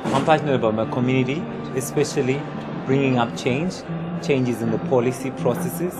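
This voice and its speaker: A man's voice: medium pitch, fairly quick, loud music behind the voice. A man, a youth activist, earnestly listing policy goals in an interview. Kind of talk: interview testimony.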